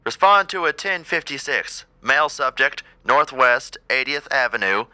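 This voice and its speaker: A man's voice: medium pitch, expressive, normal speed, pushed and bright, clear, resonant tone, no echo, pitched above the performer's own voice, low-quality recording. A man voicing a police radio dispatcher in an audiobook story, speaking in a clipped, formal, official manner. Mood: urgent, official.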